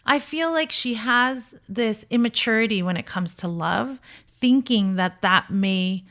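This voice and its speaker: A woman's voice: on the high side, lively intonation, a little slow, a bit bright. A woman speaking as a dating coach, giving conversational relationship commentary in an emphatic, opinionated and blunt way. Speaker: dating coach and show host.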